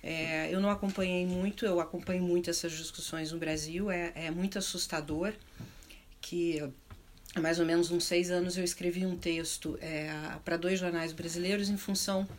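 Halting, lower-pitched southern Brazilian woman. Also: steady tone, slight background noise. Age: middle-aged. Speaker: panel speaker on arts and culture. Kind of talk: unscripted panel discussion.

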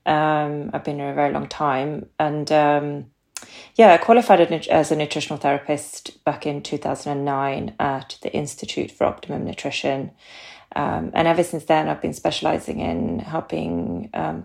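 A middle-aged Swedish woman speaks in a low, gravelly register. Her Swedish accent colours every phrase. She talks in a loose, conversational way, often pausing and restarting her sentences as she explains herself. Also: darkish tone. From Sweden. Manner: hesitant, many ums and restarts. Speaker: guest, nutritional therapist. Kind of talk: casual podcast interview.

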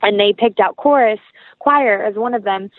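A woman's voice: mid-range voice, normal speed, phone-quality sound. A woman giving conversational interview answers as a guest actress, reflective and earnest, often telling little anecdotes.

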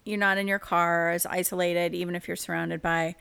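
A woman's voice: on the low side, level tone, a little fast, gravelly, fairly bright tone, clear, resonant tone, dry recording. A woman from Minnesota, a guest in a casual chat, talking easily and laughing often.

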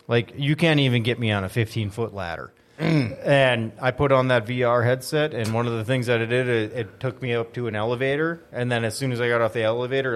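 A man telling personal stories in casual conversation, informal and emphatic. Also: medium pitch.

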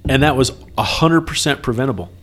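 Man, medium pitch, casual workplace talk, earnest, explanatory, podcast co-host, seeks agreement. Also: fast, a bit bright. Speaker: trucking company podcast co-host.

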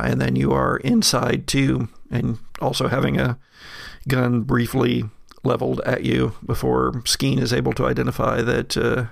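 A man's voice: mid-range voice, gravelly, no room sound, clean studio sound. A man as game master, improvising conversational narration for a tabletop horror game with frequent hesitations.

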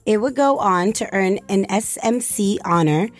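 A woman's voice: medium pitch, animated, unhurried. A woman reading scripted documentary narration.

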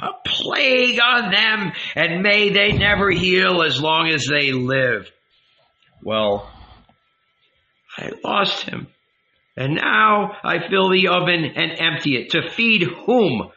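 A man voicing a fierce, bitter, toothless old village woman with a shrill, screeching voice, in a dull lo-fi recording. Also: big pitch swings, takes it slowly, creaky, bright, forceful tone, full character voice. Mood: grieving. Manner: swings from motherly coaxing to furious ranting.

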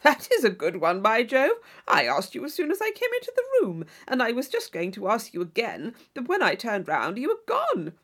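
Audiobook role: woman as boastful young Regency gentleman, blustering and brash, full of loud exclamation. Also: a little high, wildly swooping pitch, normal speed, hardly any pauses, full character voice. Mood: blustering, aggrieved. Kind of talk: audiobook character voice.

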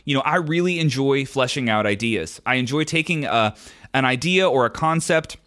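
A man's voice: mid-range voice, fairly bright tone. A young adult man hosting his own podcast, answering listener questions in a candid, rambling way with frequent ums and restarts. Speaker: podcast host. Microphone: podcast microphone.

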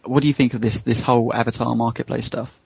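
A man's voice: mid-range voice, flat delivery, fast talker, creaky, breathy edge, dry recording. A man hosting a casual gaming podcast, rambling through his opinions in a conversational way. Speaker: podcast host. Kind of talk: casual panel chat about gaming. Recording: dull lo-fi podcast audio.

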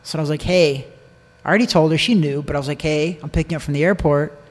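A man's voice: on the high side, fairly even intonation, quick pace. An American man telling a personal story in casual conversation, repeating phrases for emphasis. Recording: long echoing reverb.

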